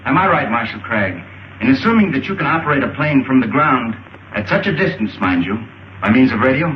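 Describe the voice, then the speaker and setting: Male actor as bold young pilot hero: earnest, polite, clipped, mid-pitched, muffled roomy serial soundtrack. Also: big pitch swings, a little fast, a little hiss or hum, natural voice. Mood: earnest, determined. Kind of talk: 1930s film serial acting. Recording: muffled old film soundtrack, roomy, faint music.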